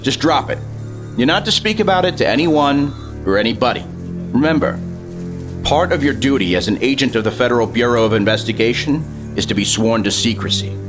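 An actor playing a stern, bossy FBI assistant director, authoritative, in 1950s radio drama style. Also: male voice, mid-range voice, animated, fairly quick, music in the background, natural voice.

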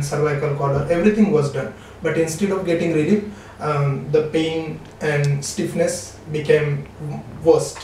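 A middle-aged man with an Indian accent giving a formal, measured testimonial as a doctor, recorded in an office on a distant camera microphone. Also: a little high, darkish tone. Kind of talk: prepared spoken testimonial. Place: small office, seated behind a desk. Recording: very echoey, distant, faint background noise.

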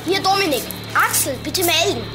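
A woman plays a bookish young boy detective with a very high voice. He explains things precisely and rattles easily, in a children's radio play with music behind him. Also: animated, normal speed. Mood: worried, urgent. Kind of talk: children's audio drama acting.

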